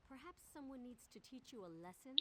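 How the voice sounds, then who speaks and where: A woman's voice: sits high, lively intonation, a little fast, darkish tone. An actress playing a haughty, mocking woman soldier who picks fights.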